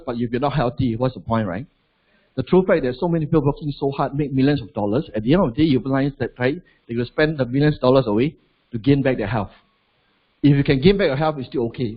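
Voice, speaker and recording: Husky Malaysian man addressing a crowd. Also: medium pitch, quick pace, muffled recording. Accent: Malaysian English. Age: 40s. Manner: chatty, asks the crowd rhetorical questions, adds "lah". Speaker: motivational business speaker. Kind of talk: live seminar talk to an audience.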